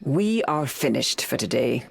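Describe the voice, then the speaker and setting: A woman's voice: very deep voice, very animated, a little slow, creaky, a little hiss or hum, heavily acted. A woman voicing a stern middle-aged witch mentor, formal and imperious, in a video game. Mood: cold disapproval. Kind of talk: dramatic video game acting. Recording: music behind the voice.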